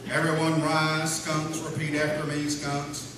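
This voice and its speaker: A man's voice: fairly high pitch, completely flat delivery, measured pace, crowd noise, close to an everyday voice. A man playing a pompous, forgetful, dismissive lodge leader who runs a meeting in a folksy, commanding way, in a comedy radio sketch. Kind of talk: live comedy radio-show sketch acting. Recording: echoey hall, distant mic, noisy.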